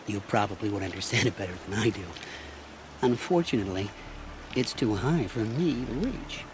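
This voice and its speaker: A man's voice: on the low side, moderate pace, background noise, lightly acted. An actor playing a sly, ingratiating middle-aged trader in a video game, with theatrical salesman's patter. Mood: slyly ingratiating. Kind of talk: video game dialogue acting.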